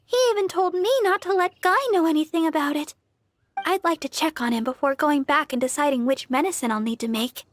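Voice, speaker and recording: A woman playing a gentle, earnest young deaconess in a video game, speaking softly, politely and a little hesitantly. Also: high voice, fairly even intonation, a little fast, slight background noise, close to an everyday voice. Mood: relieved, caring. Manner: soft, sweet, polite, hesitant. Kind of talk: English video game voice acting.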